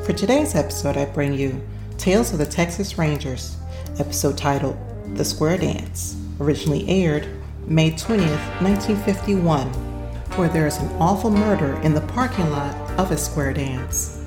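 A woman's voice: low-pitched, moderate pace, echoey room, music in the background. A woman hosting a podcast, reading a scripted episode introduction. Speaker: podcast host.

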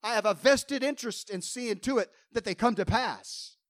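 A man preaching with fervor, his voice pitched high for a man and slightly hoarse. He builds emphasis as he quotes scripture. The sound is dry and close, with no room echo. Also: moderate pace. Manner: fervent preaching, quoting scripture with rising emphasis. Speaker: pastor. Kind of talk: sermon.

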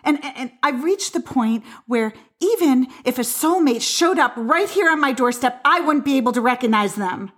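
A woman worn down by disappointment, with a fairly high voice. She is guarded and halting, often restarting her phrases. The delivery is natural, in the manner of a podcast radio play. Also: lively intonation, a bit bright. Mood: weary, resigned. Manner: hesitant, stammering, guarded. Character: weary, disillusioned woman who stopped believing in soulmates. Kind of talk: podcast audio drama acting, naturalistic.

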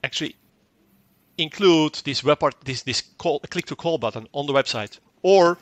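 A man's voice: on the high side, moderate pace, no room sound. A man whose first language is Dutch, explaining a product in an interview and often repeating words as he goes. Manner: fluent but repeats words and restarts, acts out short quoted exchanges. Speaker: tech company guest.

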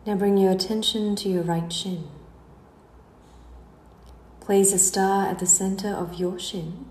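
A woman guiding a meditation in a slow, soothing delivery, letting the ends of her phrases trail away softly. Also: medium pitch, fairly even intonation. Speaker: guided meditation instructor. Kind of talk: slow guided relaxation narration. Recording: roomy echo, faint background noise.

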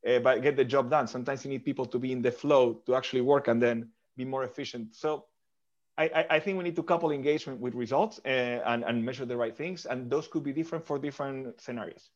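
A man from Argentina speaks as a podcast guest at a middle pitch. He answers thoughtfully and often restarts or repeats words as he goes. His audio is muffled and lo-fi. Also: fast. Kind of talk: interview answers.

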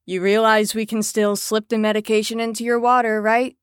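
A woman's voice: a little high, level tone, brisk, clear, resonant tone, dry recording, close to an everyday voice. A woman in an audio drama playing a firm carer on a patient ward, with a dry, exasperated manner.

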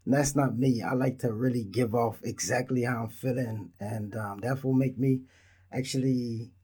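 A man's voice: mid-range voice, steady tone, moderate pace, warm tone, slightly rough. Laid-back man in his thirties from Israel. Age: 30s. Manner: laid-back, rambling, talks straight to listeners. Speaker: podcast host. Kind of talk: casual solo podcast monologue.

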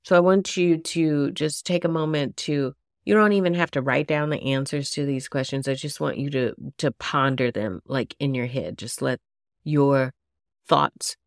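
A woman with a congested, nasal voice talks slowly and chattily into a close mic. Her tone sits low with a gravelly fry, and the sound is dry with no room around it. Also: dry recording. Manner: casual, chatty, asks listeners many questions. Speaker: podcast host. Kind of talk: solo podcast talk to yoga teachers.

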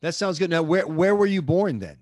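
A man's voice: on the high side, animated, a little mellow, dry recording, studio quality. A man from the southern United States hosting a podcast interview, chatty and joking. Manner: chatty, joking, quick asides. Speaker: podcast host. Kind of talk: conversational interview.

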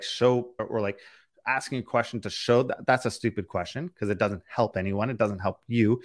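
A man with a fairly low voice talks through listener questions on his podcast. He rambles a little and restarts sentences often, in a frank and candid way. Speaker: podcast host and business coach. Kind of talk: candid conversational Q&A.

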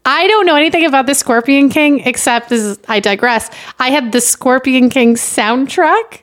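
A woman's voice: high voice, moderate pace. A woman podcast guest bantering and reading casually, blunt, sweary and exasperated.

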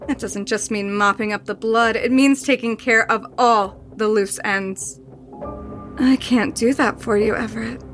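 A woman's voice: a little high, fairly even intonation, measured pace, fairly bright tone, faint music behind. A woman playing a seductive, playfully cruel female spirit in an audio horror drama, teasing and sinister, sometimes dropping toward a whisper. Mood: scornful, commanding.

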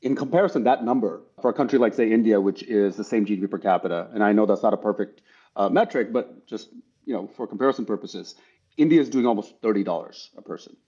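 A middle-aged man from Bangladesh who grew up partly in Texas, explaining his views thoughtfully in an interview, in measured, broken-up phrases. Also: on the low side, mellow, slightly rough. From Bangladesh, then Texas. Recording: dull, lo-fi sound.

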